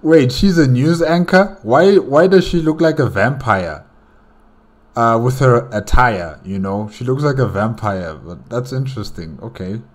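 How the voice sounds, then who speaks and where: A man's voice: mid-range voice, animated, unhurried, slight background noise. A man reacting casually as a host, musing and asking questions aloud as he comments.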